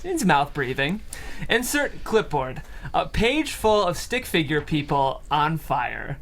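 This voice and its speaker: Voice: medium pitch, very animated, slow, fairly bright tone, slight background noise. A narrator reading out stage directions in a scripted style at a comedy table read.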